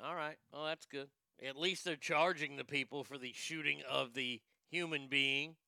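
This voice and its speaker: A man riffs on the news with sarcasm and plenty of swearing, and he laughs often. His voice is high for a man, very bright and smooth, with a gravelly edge. The sound is dry and close. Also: steady tone, moderate pace, dry recording. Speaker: commentary host. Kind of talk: comedic news commentary.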